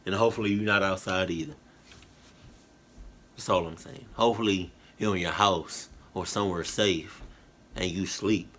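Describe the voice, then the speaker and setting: A man's voice: low voice, steady tone, normal speed, long pauses, a bit bright. A tired man chatting casually to his viewers in a reaction video, rambling with slang, fillers and restarts. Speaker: YouTube reactor. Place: at home, indoors.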